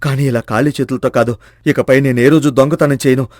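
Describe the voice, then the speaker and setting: A man performs a humble village husband, a reformed thief in a moral story. His delivery is earnest, respectful and full of feeling, at a middling pitch with a very dry, close sound. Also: steady tone, a little fast, darkish tone, dry recording, natural voice. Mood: earnest, grateful. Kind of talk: scripted moral-story dramatization.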